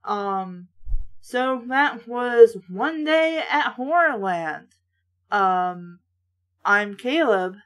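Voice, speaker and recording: Female book reviewer, high-ish voice, sardonic and blunt, casual read-and-react delivery. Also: animated, extremely slow, a bit bright. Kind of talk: casual read-aloud with running critical commentary.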